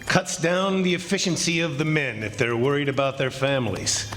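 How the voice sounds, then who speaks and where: A man playing a smug, confident and domineering plantation owner in a 1940s-style radio drama, with a brisk, commanding manner. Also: fairly high pitch, animated, a bit bright. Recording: noisy stage recording with clicks.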